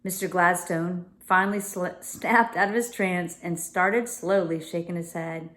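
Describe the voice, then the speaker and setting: A woman's voice: on the low side, steady tone, a little slow, fairly bright tone. A woman reading a children's novel aloud as a storyteller, with casual explanatory asides.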